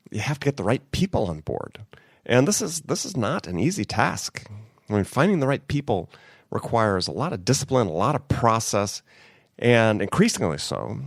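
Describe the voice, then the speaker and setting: A man's voice: fairly low pitch, dry recording, clean studio sound. A man hosting a podcast in casual, upbeat talk while getting over a cold. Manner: upbeat, conversational. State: has a cold. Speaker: podcast host.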